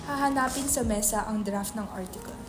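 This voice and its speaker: A woman's voice: a little high, fairly quick. A woman reading out stage directions in short scripted narration. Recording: echoey room, loud noise, clicks.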